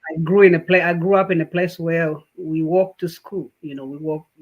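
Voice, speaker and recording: A man from Tanzania, a guest on a podcast, talking in a warm, grateful way with many fillers and restarts. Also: fairly high pitch, fairly even intonation, moderate pace, phone-quality sound. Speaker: guest, healthcare business owner. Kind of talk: casual interview.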